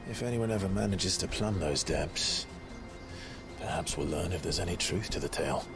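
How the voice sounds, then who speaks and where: An actor playing a brooding, resolute outlaw leader in a video game, grave and measured. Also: male voice, deep voice, over music. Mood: reflective.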